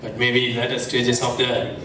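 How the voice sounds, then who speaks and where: A man from Switzerland speaks plainly and a little nervously as a sponsor company's representative. His voice is a mid-range male pitch. The mic is distant, the hall echoes, and faint noise runs underneath. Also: completely flat delivery, unhurried, a crowd in the background. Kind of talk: short public speech.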